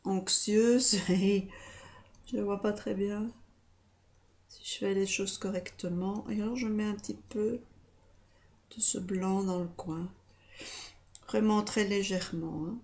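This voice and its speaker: A woman in her forties with a slightly stuffy nose, softly and hesitantly talking through a makeup tutorial into a webcam in a small room. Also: medium pitch, fairly even intonation, slow, pauses often. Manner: soft, hesitant, talks while applying makeup. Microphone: webcam close up.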